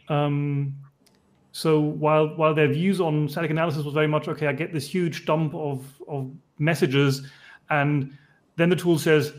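A man moderating a panel discussion over a video call, with halting, hesitant phrasing. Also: fairly high pitch, a little mellow. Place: indoors, online video call.

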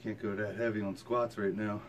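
A man's voice: on the low side, flat delivery, moderate pace. A man in his thirties, tired from exercise, talking casually and plainly to camera in a workout vlog. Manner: plain-spoken, colloquial, mild swearing. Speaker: fitness vlogger. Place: rooms at home and a home gym. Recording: noisy handheld camera audio with ambience.

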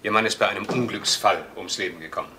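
A police assistant in an old crime drama, reporting in a forceful, low male voice. He is factual and orderly, with faint noise on the soundtrack. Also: fast. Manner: matter-of-fact, orderly.

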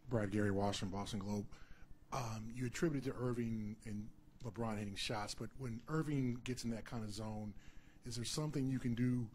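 A man's voice: lowish voice, fairly even intonation, normal speed, darkish tone, slight background noise. A man who works as a newspaper reporter, asking a direct question at a press conference.

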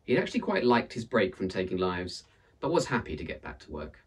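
A man in his thirties with a husky voice, reading and presenting a book as an expressive storyteller. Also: fairly low pitch, a little fast, recorded from a distance. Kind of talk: expressive read-aloud storytelling and book introduction. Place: indoors in front of a purple backdrop.

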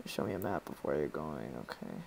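A teenage boy with a Polish accent gives loose, joking commentary while playing a game. His voice is gravelly and swings up and down a lot. He rambles and reads game lines aloud in silly voices. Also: rumbling low, lively intonation, fairly quick, darkish tone, a little hiss or hum. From Poland. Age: teens. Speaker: gaming YouTuber. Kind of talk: casual let's play commentary.

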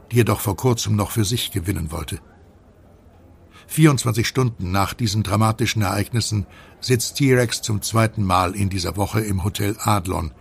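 The narrator of a thriller radio play. His voice is low, very raspy and full of creak, and he tells the story in a dramatic, scripted style. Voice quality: gravelly, husky. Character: gravelly male narrator of a conspiracy thriller.

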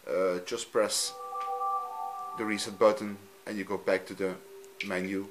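A man from Belgium, a native Dutch speaker with a Dutch accent, talking off camera in a halting, simple way while he films his TV screen at home. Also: lowish voice, flat delivery, over music. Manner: halting, frequent ums, simple non-native phrasing. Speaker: hobbyist youtuber. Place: room at home, filming a TV screen.

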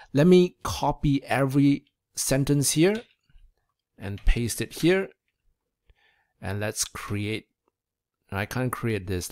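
Young adult man, casual software reviewer, conversational walk-through delivery, close-miked at a desk. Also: medium pitch. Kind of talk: casual screen-recorded review and demo. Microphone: close desk microphone.

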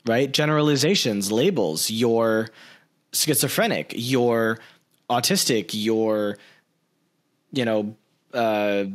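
A man in his thirties hosting a podcast, talking in an animated way into a large studio mic in a small room at home. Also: medium pitch, takes it slowly, pauses often. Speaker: podcast host. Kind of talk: casual interview talk. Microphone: large studio mic with headphones.

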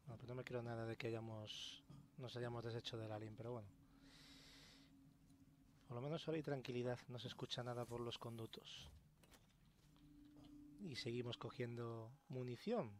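A man's voice: mid-range voice, slight background noise. A man with an accent from Spain giving informal, mildly sweary let's play commentary. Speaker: gaming YouTuber. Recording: faint game ambience behind voice. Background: quiet video game ambience.

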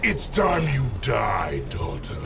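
An actor playing an arrogant, brutal warlord emperor in a fighting game, speaking with imperious, booming menace. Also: male voice, huge pitch swings, takes it slowly, vocal fry, distant mic, over music, exaggerated voice. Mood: threatening.

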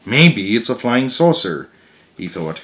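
A young man with a mid-pitched voice reads a children's story aloud. His delivery is expressive storytelling, broken by casual asides. He is at home in a living room, picked up by a camera a little way off. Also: takes it slowly. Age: young adult.

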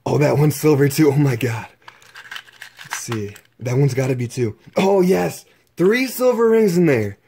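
A young man narrating his own exploration vlog, excitedly exclaiming over what he finds while filming up close with a handheld camera. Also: a little high, a little slow, creaky, breathy edge. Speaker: exploration vlogger.

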